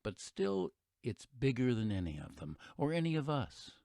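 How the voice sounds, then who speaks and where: A man with an American accent playing an earnest, reflective radio narrator, delivering a scripted patriotic monologue in a measured, oratorical way. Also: mid-range voice, wildly swooping pitch, creaky, warm tone, dry recording, clean studio sound. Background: music behind the voice.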